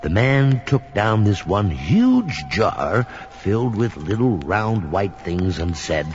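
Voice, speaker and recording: An actor playing a sinister old storyteller telling a spooky Halloween tale in a theatrical, dramatic way. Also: male voice, lowish voice, very animated, a little mellow. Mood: frightened, suspenseful. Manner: slow, dramatic, whispers and outbursts. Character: sinister old storyteller welcoming listeners into his home. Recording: dull lo-fi recording. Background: music.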